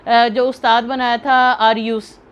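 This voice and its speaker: A woman's voice: fairly high pitch, little pitch movement, unhurried. A woman with a Pakistani accent giving earnest, emphatic, unscripted commentary to viewers, often rephrasing as she goes. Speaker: video host and commentator. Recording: muffled lo-fi, clear background noise.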